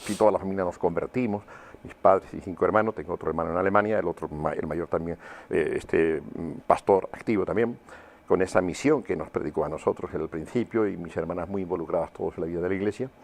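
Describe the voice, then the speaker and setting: A man's voice: low voice, fast. An older man from southern Spain, telling personal memories as an interview guest in a hesitant, reflective way. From Huelva, Andalusia, Spain. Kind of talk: personal testimony in conversation.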